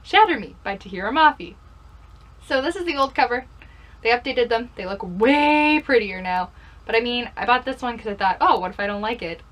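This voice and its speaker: Young female vlogger, higher-pitched voice, casual and chatty, pokes fun at herself. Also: animated, fairly quick, a bit bright. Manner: self-deprecating, playful, rhetorical questions to herself. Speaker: book vlogger. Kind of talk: casual chatty vlog to camera. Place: bedroom.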